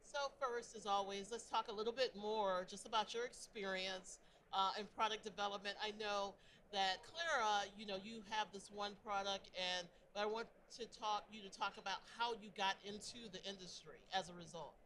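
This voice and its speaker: Bright-voiced woman moderating a panel, noisy room. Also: mid-range voice, steady tone, normal speed. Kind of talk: hosting a panel discussion, partly scripted introductions.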